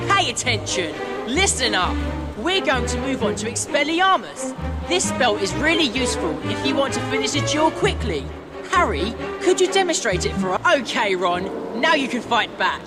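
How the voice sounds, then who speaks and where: An actress playing a bossy, eager teenage schoolgirl who leads a club in a video game. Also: sits high.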